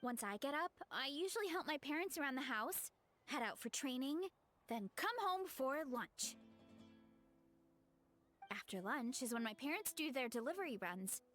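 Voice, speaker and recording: A woman's voice: sits high, big pitch swings, normal speed, a bit bright, soft background music. A woman voicing a sweet, sleepy, easygoing young farm girl in an anime game. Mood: relaxed, sheepish.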